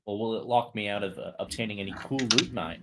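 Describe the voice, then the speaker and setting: A man's voice: on the low side, fast talker, very noisy. A man playing a tabletop roleplay game, chatting in a hesitant, matey, casual way.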